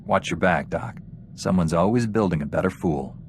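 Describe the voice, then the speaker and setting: An actor playing a composed, dryly witty heroic warrior knight in a video game. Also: male voice, low-pitched, a little hiss or hum. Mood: dry, wry. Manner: measured, dry, wry.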